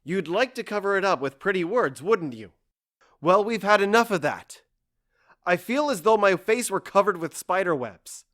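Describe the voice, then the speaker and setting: A man's voice: high voice, expressive, moderate pace, fairly bright tone. A man playing a stoic, embittered husband in an audio drama, weary and resolute, with grave, formal speech. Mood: bitter, disgusted.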